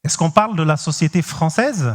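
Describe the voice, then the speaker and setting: A man from Cape Verde with a Cape Verdean accent, French not his first language, preaching as a guest pastor, halting with many pauses and speaking straight to the congregation. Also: fairly high pitch, long reverb tail. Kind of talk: sermon. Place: large church hall.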